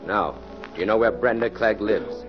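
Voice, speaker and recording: A man's voice: lowish voice, moderate pace, close to an everyday voice. A man playing a cool, authoritative police detective in 1940s radio style, speaking firmly in clipped, commanding phrases. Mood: stern. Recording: muffled old radio recording, music behind.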